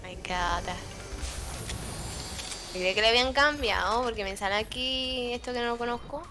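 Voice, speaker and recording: A woman's voice: fairly high pitch, moderate pace, over music. A woman from Spain with a Spanish accent, chatting and giggling while gaming with a friend. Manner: giggly, playful, easily amused. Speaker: fellow streamer, duo partner. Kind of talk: casual gaming chat.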